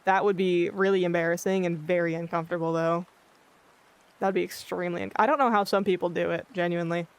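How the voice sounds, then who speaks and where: A livestreamer chatting casually with viewers in a monotone, blunt-sounding way, often losing their train of thought. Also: medium pitch, fast talker, smooth. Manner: rambling, loses track of thoughts. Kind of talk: casual chat with live viewers. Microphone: filtered streaming mic.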